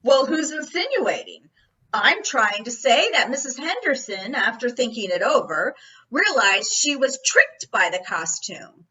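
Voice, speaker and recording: A woman's voice: a little high, sing-song, measured pace, pushed and bright, slightly rough, dull audio, heavily acted. A woman acting a brash, folksy police captain in an old-time radio play, slyly probing with mock-casual questions. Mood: needling, insinuating. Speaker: actor in an amateur radio play.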